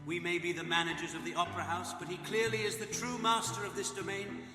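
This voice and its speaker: A man's voice: high voice, little pitch movement, fast talker, natural voice. A man voicing an anxious male opera house manager, speaking in a fretful, exasperated way in an audio drama. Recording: echoey hall reverb with music.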